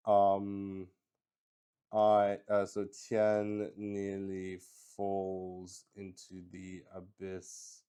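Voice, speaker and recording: Slow-paced man thinking out loud, halting. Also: low-pitched, completely flat delivery, clean tone. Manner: halting, thinking aloud, repeats words; swears casually. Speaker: host playing a solo tabletop roleplaying game. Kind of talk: casual live-stream chat with game narration.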